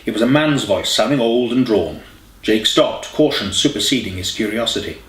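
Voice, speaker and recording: A man's voice: lowish voice, animated, moderate pace, a bit bright. A man reading a scripted story as an audiobook narrator. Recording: echoey room, distant mic, faint hiss.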